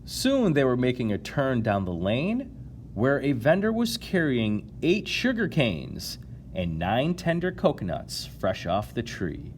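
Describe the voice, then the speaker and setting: Man, storyteller, lively swooping intonation, unhurried pace, playful and expressive, children's read-aloud, asides to listeners. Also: medium pitch, a little hiss or hum. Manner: expressive, playful, drawn-out key words. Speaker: storyteller reading a children's picture book aloud.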